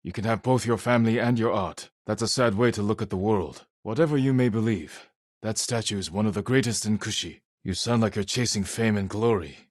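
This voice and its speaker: Male actor as solemn samurai, deep husky voice, measured, clipped phrases, resolute, game dialogue. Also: dry recording, studio quality, close to an everyday voice. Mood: firm, reproachful. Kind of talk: dramatic video game character dialogue.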